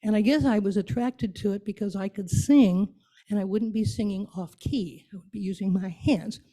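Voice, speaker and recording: A woman addresses a group in a relaxed, soft-edged voice. She is conversational and playful, coaxing people along as an informal speaker would. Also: medium pitch, moderate pace, poor audio. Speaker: guest speaker leading an interactive church talk. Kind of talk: informal talk to a congregation.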